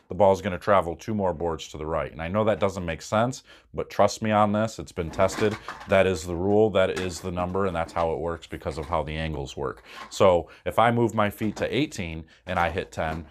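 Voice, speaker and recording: A deep-voiced man in his thirties talks straight into a close camera, walking viewers through bowling angles one step at a time. He sounds casual and reassuring, dropping the odd "uh" as he explains. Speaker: bowling coach. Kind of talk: casual instructional talk to camera. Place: small room indoors.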